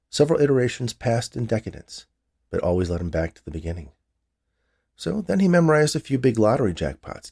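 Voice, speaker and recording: A man narrates a short story in a very low register. His tone is dark and mellow, and he reads as a writer presenting his own scripted fiction.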